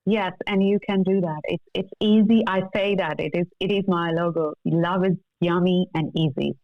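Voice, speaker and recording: A woman's voice: on the low side, steady tone, normal speed, dry recording. A woman speaking as a coaching guest in a conversational interview, earnest, emphatic and motivational. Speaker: love coach, podcast guest. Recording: thin phone-like call audio.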